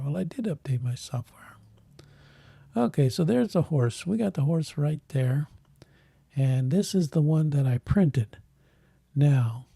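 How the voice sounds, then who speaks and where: A man hosting a tech channel, thinking aloud in halting bits while he clicks through software, with a voice that is giving out. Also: fairly high pitch, gravelly, breathy edge, dry recording. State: losing his voice. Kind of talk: casual screen walkthrough.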